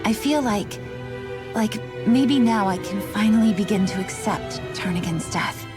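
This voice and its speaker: An actress playing a proud, doubtful young highborn woman in a game, earnest and questioning in manner. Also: unhurried, over music, close to an everyday voice. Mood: softening.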